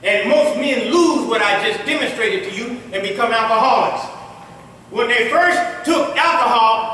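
A middle-aged man, an imam, giving a Friday sermon at a mosque in a conversational, joking way that builds to emphatic shouts. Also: high voice, slight background noise. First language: English. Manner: conversational preaching, jokes and laughs, rises to emphatic shouts. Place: mosque room with tiled walls. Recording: very echoey hall, taken from a distance.

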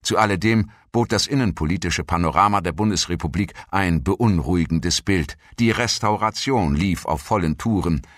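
A man's voice: low voice, fairly bright tone, no echo, studio quality. A man reading scripted documentary narration as a narrator.

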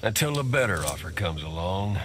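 A dangerous, amused gunslinger, with a deep Southern American drawl. His slow lines swing up and down with wry swagger. Game noise is clear behind him. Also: very animated, a bit bright. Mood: cocky, wry. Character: cocky gunslinger mercenary cowboy, amused and dangerous. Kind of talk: fighting game character dialogue.

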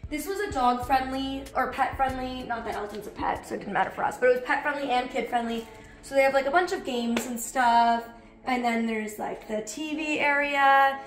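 A woman's voice: high voice, normal speed, roomy sound, faint music behind. A young woman with a slightly nasal voice, just over a cold, giving a gushing, chatty house tour. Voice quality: nasal from recent illness. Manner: bubbly, gushing, lots of "like". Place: bright home interior, indoors.

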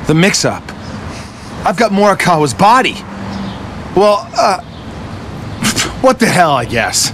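Earnest young boyfriend, echoey. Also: male voice, sits high, big pitch swings, slow, long pauses, exaggerated voice. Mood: bewildered. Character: earnest young boyfriend, tender, plain-spoken, easily flustered. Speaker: game character. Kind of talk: video game cutscene acting. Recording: echoey, loud music behind.

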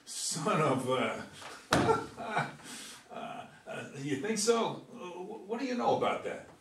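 A man playing a folksy, excitable young painter in a staged script reading, with a hesitant, stammering delivery. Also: high-pitched, slow, heavily acted. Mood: excited. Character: excitable, folksy young male painter, fond of his grandfather. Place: meeting room, seated at a long table. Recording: roomy, distant camera mic, faint noise.

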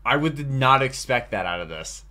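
A man's voice: medium pitch, animated, moderate pace, bright, forceful tone. An American man in his thirties giving casual, chatty, enthusiastic reaction commentary as a video host at home. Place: small furnished home room.

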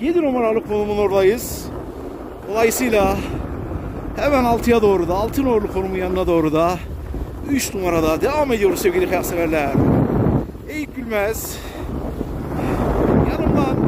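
A man skiing down an outdoor slope, giving excited sportscaster-style running commentary to viewers, with repeated catchphrases. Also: high-pitched. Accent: Turkish. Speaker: ski vlogger. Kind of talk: live commentary while skiing. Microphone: action camera mic in the wind. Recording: loud wind noise on an outdoor mic. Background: loud wind noise.

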